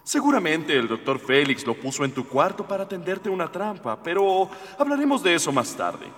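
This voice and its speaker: Lively young prince, echoing hall. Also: male voice, on the high side, moderate pace. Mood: lofty, earnest. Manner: earnest, lofty, formal. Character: gallant, idealistic young alien rebel prince. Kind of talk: comic radio drama acting.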